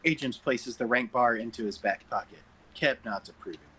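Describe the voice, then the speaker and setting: A man's voice: mid-range voice, fairly quick, sharp tone, breathy edge, poor audio. A man reading stage directions as narrator at an amateur table read over an online call. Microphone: group online call.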